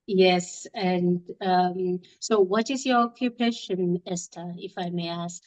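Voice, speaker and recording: A woman's voice: fairly low pitch, fairly even intonation, slow pace, darkish tone, poor audio. Woman hosting a diaspora podcast. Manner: hesitant, many fillers. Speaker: podcast host. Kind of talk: interview hosting.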